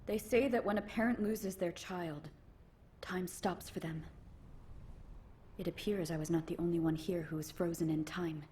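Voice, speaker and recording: A woman voicing a proud, solemn warrior from another era in a video game, with formal, measured phrasing. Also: fairly low pitch, fairly even intonation, mellow, slight background noise, natural voice.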